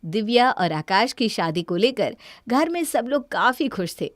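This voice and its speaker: A woman's voice: on the high side, lively intonation, measured pace, no echo, studio quality. A woman reading scripted story narration.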